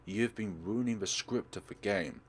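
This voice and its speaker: A man's voice: lowish voice, normal speed, breathy edge. A man voicing a protective teenage girl in a horror visual novel, explaining things with grave urgency. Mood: grave, urgent. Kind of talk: visual novel character read-aloud.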